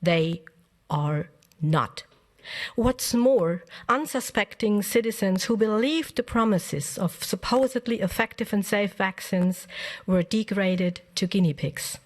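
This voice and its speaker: A woman member of parliament reading a prepared press conference statement in a firm, measured way. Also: mid-range voice, expressive, darkish tone.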